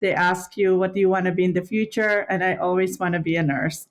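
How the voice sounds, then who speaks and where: A woman's voice: fairly low pitch, steady tone, brisk, lo-fi sound. A woman in her fifties or sixties with a Filipino accent, a native Tagalog speaker, chatting casually about herself as an interview guest. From Philippines. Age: 50s or 60s. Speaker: podcast guest, nurse director. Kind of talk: casual autobiographical chat.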